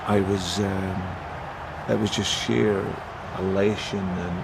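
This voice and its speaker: A man's voice: sits low, flat delivery, slow pace, vocal fry, background noise. A man from a football club reflecting in a documentary interview. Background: music.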